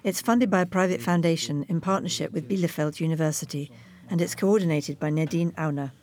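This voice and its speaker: A woman's voice: low-pitched, brisk, a little mellow. A woman reporter reading scripted report narration.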